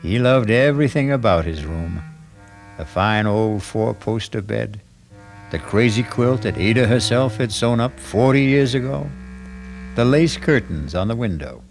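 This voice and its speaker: A man narrating a radio mystery drama from a script in a measured storytelling manner. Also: lowish voice, animated, vocal fry. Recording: archival radio with faint music.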